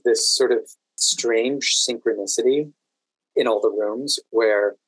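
An American man in a relaxed interview conversation, recounting anecdotes with deliberate pauses and dry amusement. His voice sits at a middling pitch, and the sound is close and dry with no room around it. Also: slightly rough, no echo, rough recording. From Washington DC, United States. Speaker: podcast guest.

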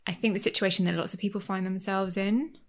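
A woman's voice: mid-range voice, steady tone, fast. A woman, chatting casually as a guest and rambling with fillers and restarts. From Leeds, Yorkshire, England. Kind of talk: casual conversation. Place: home in Cornwall.

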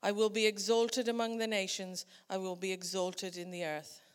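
A woman's voice: mid-range voice, flat delivery, moderate pace, clear, resonant tone. A woman reading a psalm aloud in a church service. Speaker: scripture reader. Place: church hall. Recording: long church reverb.

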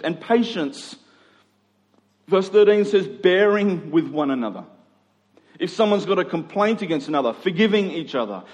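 A man preaching a sermon, reading scripture and urging listeners in a conversational way with repeated phrases. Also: high voice. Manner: conversational, exhortative, rhetorical repetition.

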